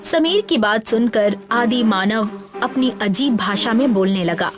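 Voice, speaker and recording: A woman's voice: fairly high pitch, measured pace. A woman reading a scripted, dramatic story as its narrator. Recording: music bed behind the voice.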